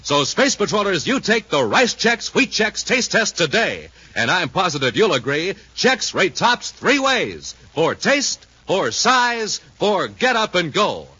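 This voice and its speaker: Bright, animated American 1950s radio announcer, man. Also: sits high, a little slow, few pauses, slightly rough. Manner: emphatic, enthusiastic showman delivery. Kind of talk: 1950s radio announcing, scripted narration and ad pitch. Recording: muffled, faintly noisy 1950s radio recording.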